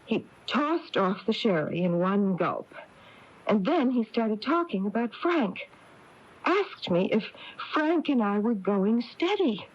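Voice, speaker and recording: A woman's voice: fairly high pitch, big pitch swings, slow, exaggerated voice. An actress playing an uneasy small-town woman recounting a strange visit in a 1980s radio drama. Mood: uneasy, earnest. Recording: dull lo-fi taped radio broadcast.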